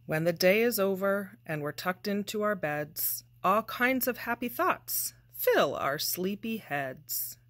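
A woman reading a children's picture book aloud with deliberate, expressive, measured phrasing. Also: medium pitch. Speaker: storybook reader.

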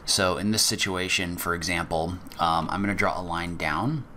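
A man with a very low voice talks through a software tutorial one step at a time. His delivery is methodical and casual, with the odd filler. Faint keyboard typing sits in the background. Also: normal speed, slight background noise. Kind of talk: screen-recorded software walkthrough.

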